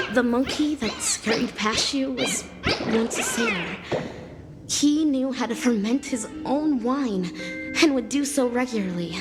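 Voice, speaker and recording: Slow, solemn ninja heroine. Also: female voice, on the high side, long reverb tail. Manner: grave, archaic, melodramatic phrasing. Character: solemn, theatrical female ninja, righteous and accusing. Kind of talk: dramatic video game dialogue.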